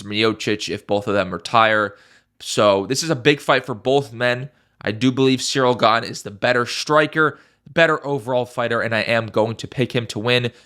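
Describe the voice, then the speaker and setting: A young man talks through upcoming fights in a loose, emphatic way, repeating his strongest points and cursing casually. His voice is congested and stuffy. The tone is bright and forward, at a middling pitch. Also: clean tone. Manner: emphatic, repeats key phrases, swears casually. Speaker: MMA YouTuber.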